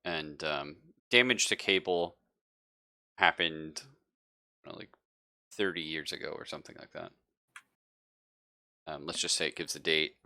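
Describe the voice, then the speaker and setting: A man's voice: extremely low pitch, measured pace, pauses often, sharp tone. A man improvising as game master of a tabletop roleplaying game, thinking aloud with some hesitation.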